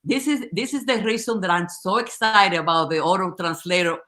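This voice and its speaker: A woman's voice: on the low side, a little fast, fairly bright tone. A woman co-hosting a casual online panel discussion. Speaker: co-host of a discussion show.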